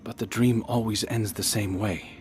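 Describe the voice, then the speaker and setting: An actor playing a brooding hunter haunted by strange dreams in a video game, speaking in a halting, introspective way. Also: male voice, on the low side, steady tone, a little mellow, lightly acted. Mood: troubled, wistful. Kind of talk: cinematic video game dialogue.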